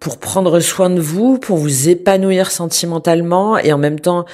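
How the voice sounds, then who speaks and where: A woman giving a spoken tarot reading to viewers, congested from a cold, hesitant with many fillers and restarts but gentle and reassuring. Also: on the low side, normal speed. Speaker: tarot reader.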